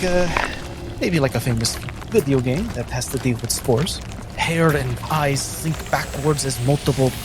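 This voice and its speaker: A man playing a tabletop role-playing game, descriptively narrating what his character does. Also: a little high.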